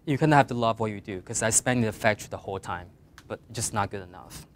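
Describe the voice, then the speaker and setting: A middle-aged man with a Mandarin Chinese accent, speaking English as a second language, giving earnest career advice to design students, rising on key points and trailing off quietly at the ends of phrases. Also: on the low side, fast talker, slight background noise. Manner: earnest, plain advice with emphatic rises and trailing quiet endings. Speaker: guest speaker.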